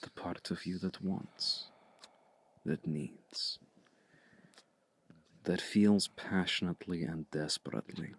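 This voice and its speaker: A man playing a seductive, domineering vampire hypnotist in an intimate ASMR roleplay, speaking in a hushed, deliberate, breathy voice that often slips toward a whisper. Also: steady tone, heavily acted. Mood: seductive, coaxing. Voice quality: low, breathy, gravelly, often slipping into whisper. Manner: deliberate, commanding, coaxing with long pauses.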